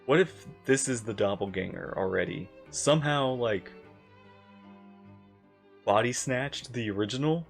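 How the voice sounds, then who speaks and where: A woman streamer reacting casually to a game, thinking aloud in halting, repetitive fragments. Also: rumbling low, gravelly, soft background music.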